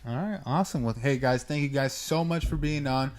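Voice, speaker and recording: A man's voice: mid-range voice, brisk. A young man hosting a faith-based podcast with his wife, talking in a loose, encouraging, chatty way. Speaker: podcast host. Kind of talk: casual faith-based chat.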